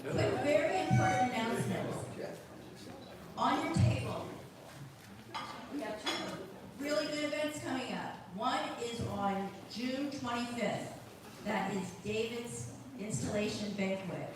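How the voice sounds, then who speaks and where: A woman giving brisk, practical announcements about upcoming club events. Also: fairly high pitch. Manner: brisk, practical, repeating key points. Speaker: club member making announcements. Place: large echoey meeting room. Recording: echoey hall, distant mic, background noise.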